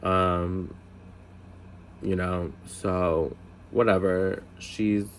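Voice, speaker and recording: A man in his twenties telling a casual story, chatty, swearing, with lots of "like" fillers and high-voiced impressions of other people. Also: fairly even intonation, drawn-out words, a little hiss or hum. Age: 20s. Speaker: storyteller vlogger.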